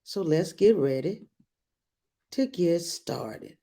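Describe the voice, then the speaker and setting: A woman reading a children's picture book aloud, adding gentle explanatory asides for young listeners. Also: fairly low pitch, expressive, takes it slowly, vocal fry. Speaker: read-aloud storyteller.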